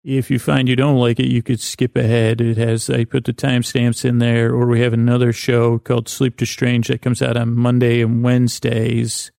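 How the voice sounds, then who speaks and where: A man hosting a bedtime podcast, improvising in a halting, meandering monologue that trails off and repeats words, with a gravelly voice. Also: medium pitch, monotone, a little mellow, dry recording, studio quality. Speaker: podcast host.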